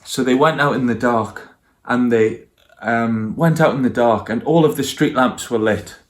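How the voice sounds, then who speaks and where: A man's voice: mid-range voice, moderate pace. Man reading a children's storybook. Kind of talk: children's storybook reading and friendly address to young listeners.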